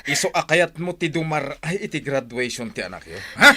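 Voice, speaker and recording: Forceful, high-pitched bullying husband. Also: male voice, very animated, moderate pace, full character voice. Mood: menacing. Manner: forceful, accusing. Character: harsh, jealous husband bullying his wife. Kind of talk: radio drama acting.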